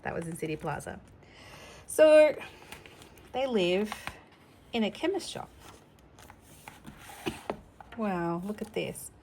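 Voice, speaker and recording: A woman's voice: mid-range voice, big pitch swings, slow pace, long pauses, mellow, slight background noise. A middle-aged woman hosting a children's storytime, chatting casually and explaining things simply as if to a child. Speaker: storytime host reading children's books. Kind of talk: casual read-aloud with chatty asides.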